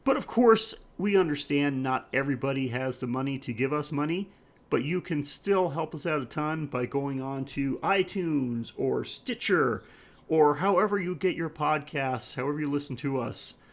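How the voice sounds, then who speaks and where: A man's voice: a little high, lively intonation, normal speed. A man co-hosting a podcast, chatting casually through the show's sign-off and listener plugs. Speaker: podcast co-host. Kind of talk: casual podcast outro chat.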